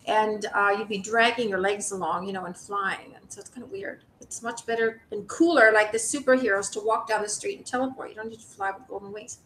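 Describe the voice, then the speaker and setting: A woman's voice: fairly even intonation, a little fast, hardly any pauses, fairly bright tone. A woman giving an informal, rambling spiritual monologue to the public, speaking emphatically and indignantly with some swearing. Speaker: video creator speaking to the public. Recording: roomy echo, noisy low rumble.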